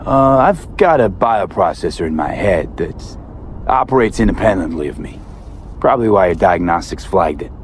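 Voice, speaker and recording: A male street mercenary in a futuristic game. His voice sits low and he talks casually, with clipped, dry understatement. There is faint outdoor vehicle noise behind him. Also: sing-song, normal speed. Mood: dry, matter-of-fact. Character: laid-back, dry-witted male street mercenary in sci-fi city. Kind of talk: video game cutscene dialogue, understated.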